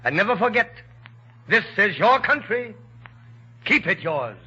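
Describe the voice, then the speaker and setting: A muffled vintage radio broadcast of a man with a raised, husky voice. He declaims a patriotic appeal with forceful emphasis, his pitch moving dramatically. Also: very animated, measured pace. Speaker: war bond appeal speaker. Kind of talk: 1940s patriotic radio oratory.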